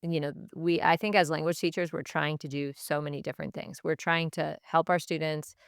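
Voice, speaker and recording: Low-pitched, creaky-voiced woman, reflective. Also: steady tone, no echo, studio quality. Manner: reflective, measured, pausing to choose words. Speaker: Spanish teacher, podcast guest. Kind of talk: thoughtful interview answers.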